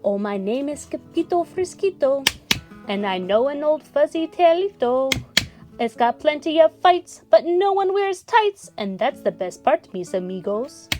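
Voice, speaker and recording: A woman's voice: high-pitched, lively intonation, measured pace, few pauses, music in the background, pitched above the performer's own voice. A woman voicing a feisty, boastful young male storybook kitten who is defiant and dramatic. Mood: singsong, boastful.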